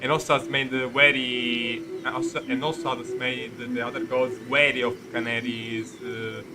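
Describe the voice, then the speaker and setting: A man giving casual live game commentary, rambling and halting as he searches for words. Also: mid-range voice, little pitch movement, very noisy. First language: Italian. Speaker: game streamer. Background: game music.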